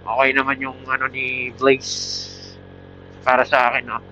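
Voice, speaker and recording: A man's voice: mid-range voice, monotone, a little slow, sharp tone. A man with a Filipino accent giving a casual, informal product review as a motorcycle vlogger, talking outdoors into a helmet headset mic while riding. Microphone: Bluetooth helmet headset mic. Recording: muffled helmet intercom mic, road noise.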